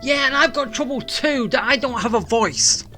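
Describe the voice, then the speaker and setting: A woman's voice: a little high, normal speed, bright, forceful tone. A woman giving casual gameplay commentary, rambling and tossing teasing asides at the game's characters. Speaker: game streamer. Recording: game music behind the voice.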